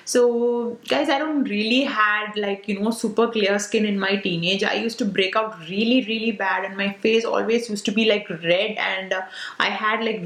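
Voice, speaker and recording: A woman's voice: mid-range voice, fairly bright tone. A woman in her late twenties with an Indian English accent, a Tamil speaker, answering viewer questions in a casual vlog, talking loosely with lots of "uh" and "like". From South India. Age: 20s. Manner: chatty, many fillers like "uh" and "like". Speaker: beauty vlogger. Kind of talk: casual Q&A to camera. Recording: roomy echo.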